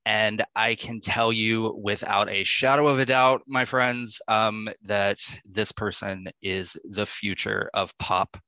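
A man's voice: fairly low pitch, steady tone, slow, few pauses, sharp tone, no room sound, poor audio. A man hosting a podcast, chatting casually with gushing enthusiasm. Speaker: podcast host. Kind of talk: casual interview chat.

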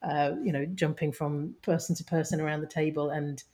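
A woman's voice: low-pitched, flat delivery, a little fast, mellow. A woman co-hosting, chatting in a hesitant way with many restarts. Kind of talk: casual chat.

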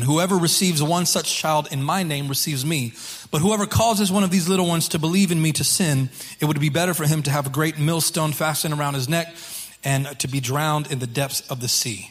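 A man preaching as a pastor to his congregation in an informal, halting way, repeating phrases, with a slightly hoarse voice. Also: on the high side. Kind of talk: sermon to a congregation. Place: church service.

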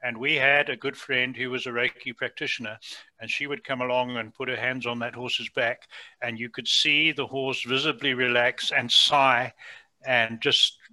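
Bright-voiced African-accented man. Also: medium pitch, level tone, normal speed, rough recording. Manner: friendly, affirming interviewer. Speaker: podcast co-host. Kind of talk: casual podcast interview.

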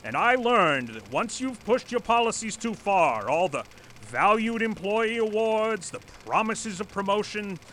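An animated, earnest corporate manager. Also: male voice, sits high, a little slow, a little hiss or hum, poor audio. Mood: earnest, insistent. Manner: formal, insistent, speech-making. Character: earnest former corporate manager turned protector, pleading, lecturing, stubborn. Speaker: presenter at a company meeting. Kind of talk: audio drama acting, satirical dystopian comedy.

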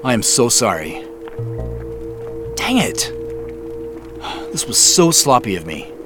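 A man's voice: mid-range voice, sing-song, slow pace, over music. An actor playing an anxious, self-blaming man in a naturalistic audio drama. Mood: alarmed, regretful. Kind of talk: naturalistic audio drama acting with first-person narration.

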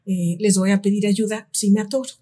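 A woman, speaking as a guest in a relaxed conversation and telling personal anecdotes. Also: mid-range voice, fairly quick, breathy edge. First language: Spanish. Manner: reflective, tells anecdotes. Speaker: guest expert. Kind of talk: casual conversation.

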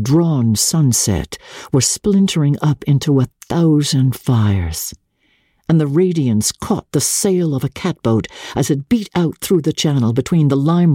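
Slow, husky woman reading dramatically. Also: rumbling low, mellow, no echo, clean studio sound. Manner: measured, theatrical, with deliberate pauses. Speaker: narrator, audiobook reader. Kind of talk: dramatic literary narration.

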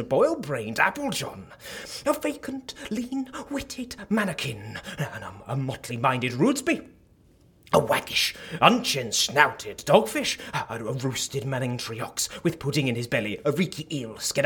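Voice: mid-range voice, a bit bright, slightly rough, exaggerated voice. An actor playing a timid, stammering courtier in a comic audio drama, with theatrical archaic diction. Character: timid, trembling courtier who unleashes elaborate Shakespearean insults.

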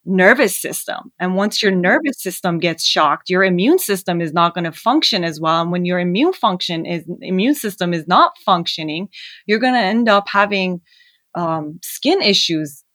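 A woman speaks with a slightly low voice, earnest and a little hesitant, often pausing on fillers. The sound is dry and close, with no room echo. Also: animated, a bit bright. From born in Iran, raised in Canada. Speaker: guest, skincare founder. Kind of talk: casual interview conversation.

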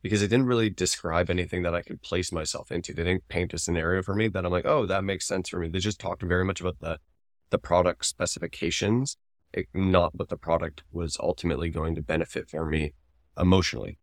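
A man's voice: low voice, fairly even intonation, fast talker, no echo, clean studio sound. A man co-hosting a podcast, explaining his opinions in a casual, analytical conversation. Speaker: podcast co-host.

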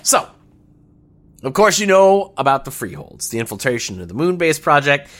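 A garrulous old rebel in an audio drama. His talk is folksy and theatrical, with pitch that swings about freely as he schemes and holds forth. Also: very animated, normal speed, fairly bright tone. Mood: rousing, eager. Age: older. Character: jovial old male revolutionary, garrulous and scheming.

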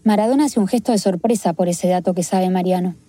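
A woman reporter narrating a documentary story in a measured, descriptive way. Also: lowish voice, dark tone, a little hiss or hum. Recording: clear music bed underneath.

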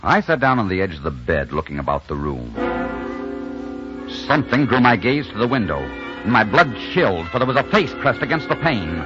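A tough, ardent leading man tells his adventure in dramatic 1940s radio fashion. His low voice swings widely between murmured romance and narration, with music prominent on the muffled old recording. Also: big pitch swings, normal speed, a bit bright. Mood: tense narrating.

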